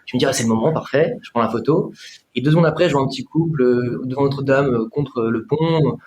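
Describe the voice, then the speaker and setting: A man's voice: medium pitch, fast talker, a little mellow. A man from the Paris region speaking as an interview guest, reflective and full of anecdotes about his photography. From Paris region, France. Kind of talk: casual interview chat.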